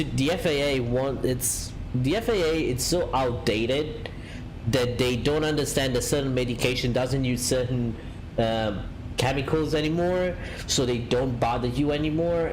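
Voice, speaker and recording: Man, loose live-stream chatter, full of fillers, average pitch. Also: slight background noise, rough recording. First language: Italian. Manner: rambling, many fillers and restarts, reads out chat. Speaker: flight simulator streamer. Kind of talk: casual live-stream chat.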